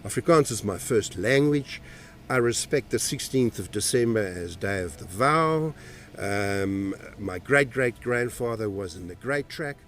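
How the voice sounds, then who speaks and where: A man calmly explaining, step by step, in an interview. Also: fairly low pitch, unhurried. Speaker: community representative.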